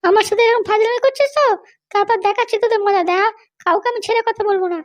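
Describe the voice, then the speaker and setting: Squeaky, bossy cartoon madam. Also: female voice, rapid-fire. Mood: threatening, bossy. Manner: bossy, scolding. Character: arrogant, threatening jailed madam demanding luxuries and release. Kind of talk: amateur cartoon comedy dubbing.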